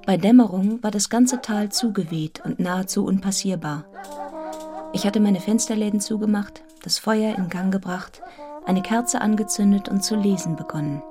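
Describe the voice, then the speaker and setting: Woman playing young schoolmistress telling her story, radio play, earnest n composed, normal pitch. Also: normal speed, mellow, faint music behind, lightly acted. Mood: composed narrating. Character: earnest, observant, forthright young schoolmistress narrator. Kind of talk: German radio play acting and literary narration.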